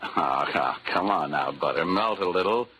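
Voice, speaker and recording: Hard-boiled radio detective, animated, lower-pitched. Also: male voice, a little slow, breathy edge, full character voice. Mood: coaxing. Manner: sardonic, breezy, narrating and bantering. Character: wisecracking, flirtatious hard-boiled male insurance investigator. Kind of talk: 1940s radio acting and scripted narration. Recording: old 1940s radio recording.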